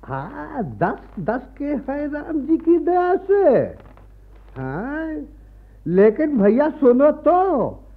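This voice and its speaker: An actor in a radio play voicing a greedy, pompous Brahmin moneylender with a taunting, falsely pious manner. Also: male voice, very high-pitched, wildly swooping pitch, extremely slow, exaggerated voice. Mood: mock amazed. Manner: taunting, repeats a pious catchphrase. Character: greedy, wheedling male Brahmin moneylender, pompous village bully. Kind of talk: Hindi radio play acting. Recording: muffled lo-fi radio archive recording.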